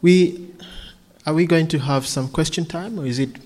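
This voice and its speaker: A man's voice: a little high, normal speed, a little mellow. A man from Kenya, preaching earnestly and then answering questions, often repeating words as he restarts. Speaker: visiting preacher.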